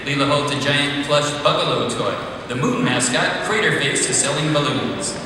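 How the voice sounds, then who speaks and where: A man's voice: fairly high pitch, moderate pace. A man reading scripted stage directions as narrator of a live comedy table read. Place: large convention ballroom with audience. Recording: echoey noisy hall, distant mic.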